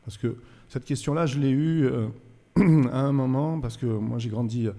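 A man's voice: medium pitch, moderate pace, mellow, long reverb tail. A man from France, a musician guest on a live radio show at a cultural centre, telling his story thoughtfully and searching for words. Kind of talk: reflective interview storytelling.

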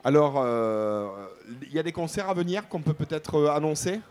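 A man with a markedly high voice hosts a live music show. He chats informally with his guest on stage, chummy and ready to tease, keeping the interview casual. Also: animated, normal speed, a little mellow.

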